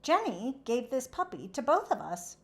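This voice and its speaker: Woman as a kind, animated young cowgirl. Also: high-pitched, normal speed, pitched above the performer's own voice. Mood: cheerful. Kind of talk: children's storybook character reading.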